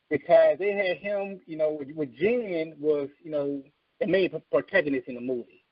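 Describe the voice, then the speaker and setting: A man's voice: on the high side, breathy edge, no echo. A man in his thirties chatting casually and emphatically as a podcast co-host, giving enthusiastic opinions. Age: 30s. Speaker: co-host of a video game podcast. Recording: slightly dull, dry radio-like sound.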